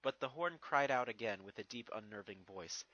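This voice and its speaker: A man's voice: on the low side, animated, normal speed, pushed and bright, highs cut off. A man reading a scripted story as its narrator. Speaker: fan fiction narrator.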